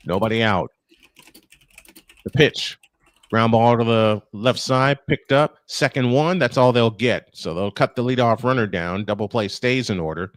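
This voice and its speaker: A man's voice: mid-range voice, no echo. A man calling a baseball game live as play-by-play host, slipping between commentary and casual chat.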